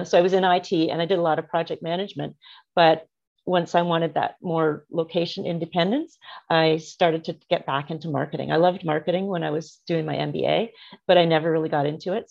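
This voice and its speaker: A woman's voice: sits low, steady tone, a little fast, gravelly, a little mellow, dull audio. A middle-aged woman, a guest talking in a friendly, rambling way and laughing often over a remote call. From Canada. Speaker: guest, marketing strategist. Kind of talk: casual interview chat. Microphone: remote video call.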